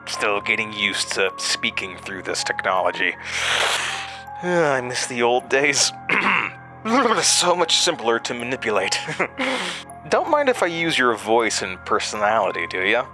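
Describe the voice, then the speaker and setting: A man's voice: medium pitch, very animated, slow pace, over music. An actor playing a malevolent entity with a man's borrowed voice, mocking and theatrical in a horror audio drama. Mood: smug, amused. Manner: mocking, sardonic, theatrical, toying menace.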